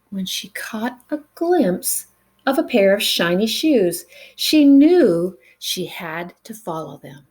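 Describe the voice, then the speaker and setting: A woman reading a picture book aloud to children in an expressive way, with dramatic pauses and chatty asides to the listeners. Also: medium pitch. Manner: animated, dramatic pauses, engages young listeners with questions.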